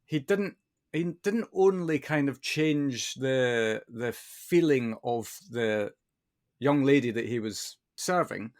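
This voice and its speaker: Measured middle-aged male podcast host. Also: mid-range voice, expressive. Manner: measured, thinks aloud with restarts and tag questions. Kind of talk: conversational interview.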